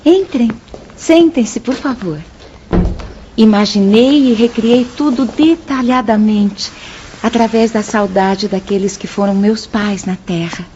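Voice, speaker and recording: An actress playing a gentle, devoted young female spirit in a radio drama, with a Brazilian accent. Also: a little high, slow pace. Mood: welcoming. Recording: muffled audio, faint background noise.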